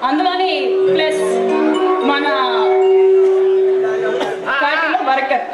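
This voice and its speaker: A woman's voice: sits high, slow. Lady acting assertive chatty young woman in a stage play, super echoey, music and crowd behind. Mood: chatty, explaining. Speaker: stage actor. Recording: echoey hall from far off, music, crowd noise.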